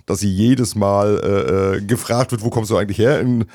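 A man co-hosting a casual discussion, talking quickly and restarting sentences often. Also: on the low side, fairly even intonation, a little mellow, no echo, studio quality.

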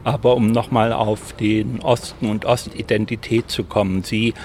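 A man's voice: fairly low pitch, little pitch movement, normal speed, darkish tone, a little hiss or hum. A man interviewing a guest, feeling his way somewhat hesitantly into his questions.

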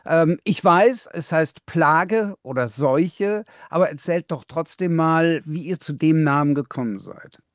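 A man's voice: fairly high pitch, a little slow, hardly any pauses, dry recording, clean studio sound. A man interviewing a metal band, asking questions in a friendly, conversational way.

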